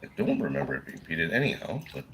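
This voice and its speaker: A man with a Minnesota accent hosting a casual live whiskey chat, rambling and laughing, clearing a frog from his throat. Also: low-pitched, very animated, fast talker, background noise. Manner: laid-back, rambling, laughs often. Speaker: whiskey show host.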